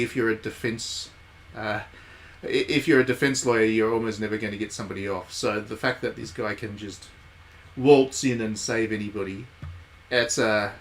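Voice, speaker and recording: A man's voice: fairly low pitch, fairly bright tone. A man giving casual, chatty live commentary as he streams a game, with wry asides. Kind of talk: casual live playthrough commentary, reading game text aloud.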